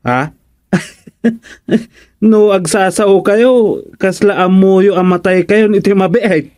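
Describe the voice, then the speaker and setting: A well-mannered, watchful village official in an Ilocano radio comedy. His voice sits high for a man and carries an Ilocano accent. He speaks with polite concern, recorded dry and close. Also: takes it slowly, a little mellow, dry recording. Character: polite, concerned male fellow village councilor paying a visit.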